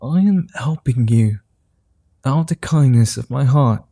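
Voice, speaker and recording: A man voicing a gentlemanly male vampire in an ASMR roleplay, speaking in formal, old-fashioned phrases and chuckling softly. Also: mid-range voice, lively intonation, measured pace, dry recording, studio quality. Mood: amused.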